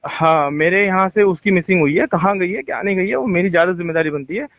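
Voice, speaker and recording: A man's voice: sits high, fast, a bit bright, no echo. A man talking informally on a recorded phone call, explaining himself insistently. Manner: insistent, explaining, repeatedly asking to be heard. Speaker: resort boss speaking on a phone call. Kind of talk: tense informal phone conversation.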